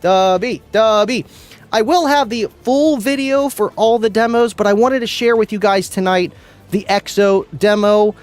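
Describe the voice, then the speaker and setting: A man hosting a casual livestream, chatting excitedly with viewers, laughing often and tripping over names. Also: sits high, moderate pace, faint music behind. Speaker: livestream host. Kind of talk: casual livestream chat reading viewer comments. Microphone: studio mic.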